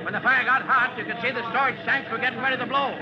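An actor playing a steady, fatherly fire captain, confident and instructive, in old-time radio drama style. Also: very high-pitched, fast talker, crowd noise. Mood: assured. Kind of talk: 1940s radio acting. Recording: muffled old radio recording, loud noise, commotion.

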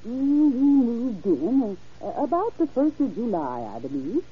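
A woman's voice: on the high side, sing-song, measured pace, breathy edge, exaggerated voice. An actress playing a hesitant, helpful working woman in a vintage radio crime drama, searching her memory as she talks. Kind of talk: 1940s-50s radio crime drama acting. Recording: noisy, dull vintage radio recording.

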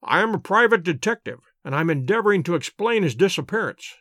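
A man reading an audiobook, voicing a calm male detective who explains his reasoning in a measured, precise, lecturing way. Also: sits high, no room sound, clean studio sound. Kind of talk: audiobook character voice.

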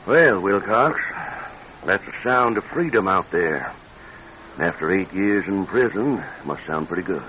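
A male actor playing a gruff, fatherly prison warden in a radio drama. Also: low-pitched, lively intonation, unhurried, pushed and bright, full character voice. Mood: gruff well-wishing. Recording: dull vintage radio, faint noise.

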